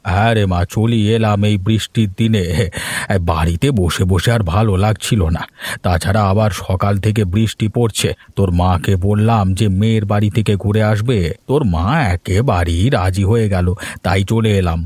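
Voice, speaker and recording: A man's voice: fairly low pitch, moderate pace, a little mellow, no room sound, pitched below the performer's own voice, close to an everyday voice. A man doing a doting old grandfather. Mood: kindly. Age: older. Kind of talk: cartoon voice acting.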